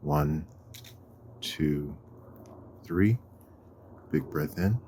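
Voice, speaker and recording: A man leading a guided walking meditation in a deliberate, measured manner, with long pauses and a husky voice. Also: very deep voice, mellow, slight background noise. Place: outdoors on a walk.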